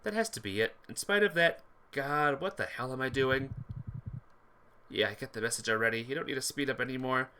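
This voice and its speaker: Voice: mid-range voice, fairly quick, bright, forceful tone, a little hiss or hum. A streamer reading a visual novel aloud expressively, with casual asides to viewers. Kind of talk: game playthrough narration with chat asides.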